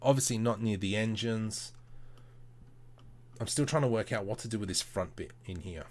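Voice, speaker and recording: Low-voiced man chatting on a casual livestream. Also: moderate pace. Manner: informal, muttering to himself, playful self-talk. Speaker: livestream host demonstrating 3D modelling. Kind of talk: casual livestream chat with thinking-aloud tutorial commentary.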